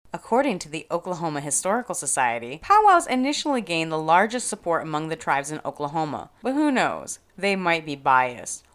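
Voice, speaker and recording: A woman's voice: fairly low pitch, a bit bright. A woman hosting a podcast, reading scripted educational narration with careful explanation and a wry, playful edge. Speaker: podcast host.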